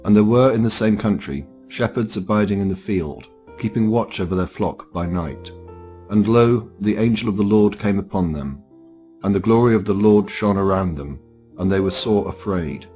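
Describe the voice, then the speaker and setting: A man's voice: lowish voice, moderate pace, darkish tone, faint music behind. A man reading scripture aloud as formal narration. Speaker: Bible reader.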